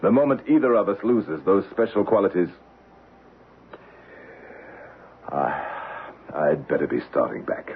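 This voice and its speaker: An American actor playing a proud, combative middle-aged financier in an old-time radio drama, with clipped, argumentative delivery. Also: male voice, low-pitched, sing-song, normal speed. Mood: grim. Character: proud, embattled male Wall Street financier, combative and cynical. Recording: muffled, noisy old radio broadcast.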